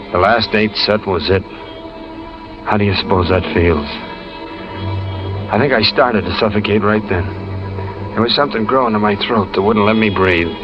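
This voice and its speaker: A man's voice: low-pitched, natural voice. An actor playing a fearful, lonely condemned man who tells his story plainly in a 1950s radio drama. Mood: resigned, then afraid, lonely. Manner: plain-spoken, confessional. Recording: muffled old radio recording with music.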